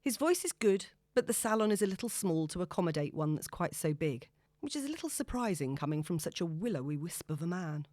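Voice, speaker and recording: A woman narrating a novel in a wry, expressive storytelling manner. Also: on the low side, fairly quick, no room sound, studio quality. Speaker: audiobook narrator.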